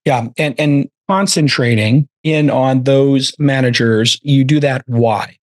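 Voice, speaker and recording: A man with a raspy voice hosts a podcast in a relaxed, chatty way. His voice sits at a middle pitch and is recorded close and dry, with no room sound. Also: slow, dry recording. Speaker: podcast host. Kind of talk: casual podcast interviewing.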